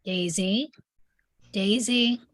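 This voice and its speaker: A woman's voice: medium pitch, extremely slow. An actress playing a sensitive, troubled, introspective young woman in a screenplay table read. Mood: uneasy.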